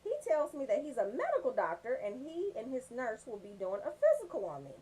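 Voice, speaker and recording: An American woman hosting a comedic radio show, telling stories in an animated, folksy, profane way. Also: a little high, brisk, breathy edge, low-quality recording. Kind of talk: comedic radio banter and storytelling.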